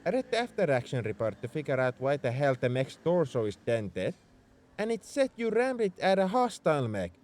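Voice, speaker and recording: A man's voice: fairly high pitch, big pitch swings, fairly quick, darkish tone. A man playing a young tsundere spaceship mech engineer in an audio roleplay, defensive about his feelings as he speaks straight to the listener. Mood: exasperated scolding. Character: gruff-caring tsundere male mech engineer, young adult. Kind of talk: ASMR roleplay acting, addressed to the listener.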